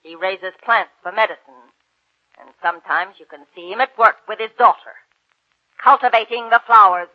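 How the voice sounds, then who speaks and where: A woman's voice: medium pitch, normal speed, lightly acted. An actress in a 1940s radio drama playing a wary, scornful Italian landlady, speaking with dramatic emphasis. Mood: sly, knowing. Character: wary, brusque Italian landlady in old Padua. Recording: dull, lo-fi 1940s radio recording.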